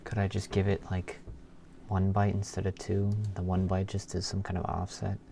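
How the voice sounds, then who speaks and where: A man live-streaming as a programmer, thinking aloud in a halting mutter as he works, half talking to himself. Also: low voice, completely flat delivery, gravelly, mellow. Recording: keyboard clicks and background noise. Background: computer keyboard typing and clicking.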